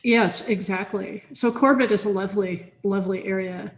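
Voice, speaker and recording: A woman's voice: medium pitch, steady tone, normal speed. Rambling woman on a video call. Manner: rambling, many fillers and restarts. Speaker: software team researcher in a chain conversation. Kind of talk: casual video call chat. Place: home office with big sunny windows. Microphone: computer on a video call.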